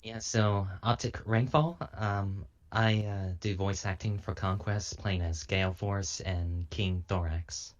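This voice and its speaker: A man who does voice acting, talking casually and a little haltingly. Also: deep voice, fairly even intonation, lo-fi sound. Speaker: voice actor. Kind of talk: casual introduction and banter.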